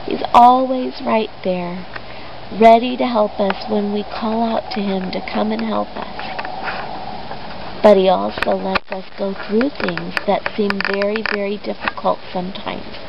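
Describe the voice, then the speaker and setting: A woman retelling a story for children in an expressive, deliberate way with dramatic pauses. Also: mid-range voice, gravelly. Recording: dull lo-fi recording with background noise.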